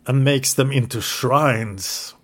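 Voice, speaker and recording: English-speaking man, podcast co-host, mid-pitched, narrates history from notes with the odd hesitation. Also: slow, fairly bright tone. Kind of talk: casual history podcast storytelling.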